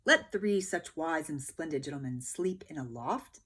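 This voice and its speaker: Simple storybook peasant read by a woman, eager, wondering, husky, earnest. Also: sits low, expressive, moderate pace, a bit bright. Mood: grateful, admiring.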